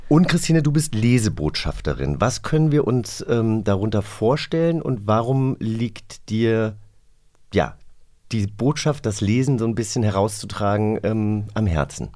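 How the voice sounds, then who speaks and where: A man hosting a podcast, moderating an interview in a casual, conversational way with somewhat halting phrasing. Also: fairly low pitch, lively intonation, a little mellow. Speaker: podcast host.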